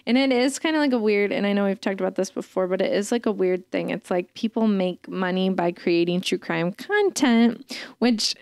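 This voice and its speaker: Chatty woman podcaster, gravelly, smooth. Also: medium pitch, moderate pace, a little mellow, no room sound. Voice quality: calls her own voice dull. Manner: chatty, rambling, lots of "like". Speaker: podcast co-host. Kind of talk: casual chat.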